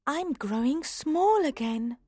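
A man voicing a curious, fretful young girl in a high, wondering tone for a storybook. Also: animated, takes it slowly, dark tone, dry recording, clean studio sound, full character voice.

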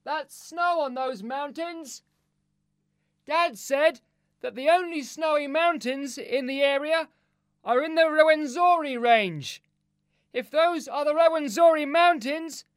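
A man voicing an eager, resourceful boy in a dramatised audiobook, with an excitable, expressive delivery. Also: very high-pitched, a little slow, dry recording, studio quality. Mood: earnest, determined.

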